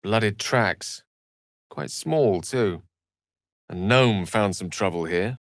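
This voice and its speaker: A man's voice: lowish voice, slow, no echo, clean studio sound. A man playing an erudite, courteous and wry wizard in a video game, speaking in eloquent, theatrical sentences. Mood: musing. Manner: eloquent, articulate, theatrical, wordy. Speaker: video game character voice actor.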